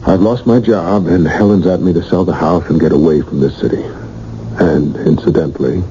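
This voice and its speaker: An actor playing a frustrated, straying husband in a 1980s radio drama, sardonic and impassioned. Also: male voice, deep voice, moderate pace, warm tone. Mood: wry, resigned. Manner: sardonic, exasperated. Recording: muffled, noisy old radio broadcast.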